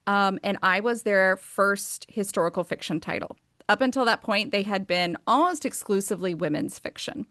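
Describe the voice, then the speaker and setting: An American woman in her thirties chats as a guest about her writing, eager and upbeat, with plenty of "um" and "like" scattered through her talk. Her voice sits at an ordinary middle pitch. It is recorded close and dry, with no room sound around it. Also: normal speed, fairly bright tone, no echo. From United States. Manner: enthusiastic, chatty, many fillers like um and like. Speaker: author and guest. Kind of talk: casual interview conversation.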